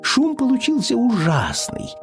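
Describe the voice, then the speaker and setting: A man narrating a children's audiobook in an expressive, scripted storytelling style. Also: very high-pitched, unhurried, music in the background.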